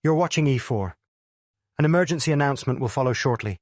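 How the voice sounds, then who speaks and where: A man's voice: medium pitch, lively intonation, no room sound. Man, formal emergency TV announcer, dry studio sound. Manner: formal, measured. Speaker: television continuity announcer. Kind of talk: scripted emergency news announcement.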